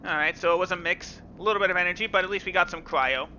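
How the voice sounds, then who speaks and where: A man's voice: sits high, rapid-fire, pushed and bright, a little hiss or hum. A man hosting a gaming video, reading game text dramatically with casual asides. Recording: dull lo-fi sound with game music.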